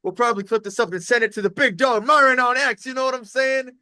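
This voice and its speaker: Male host, high-pitched and forceful, effusive slangy hype delivery, casual interview, close dry sound. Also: quick pace, no echo. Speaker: livestream host.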